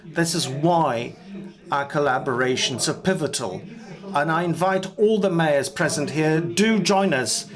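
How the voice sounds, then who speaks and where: A man interpreting a panel speech live, speaking in measured, broken phrases with pauses and self-corrections. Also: on the high side.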